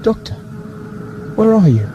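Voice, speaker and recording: An actor playing a confused, guilt-ridden young man in an audio drama, emotional, halting and pleading. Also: high-pitched, big pitch swings, lo-fi sound, music in the background. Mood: anguished.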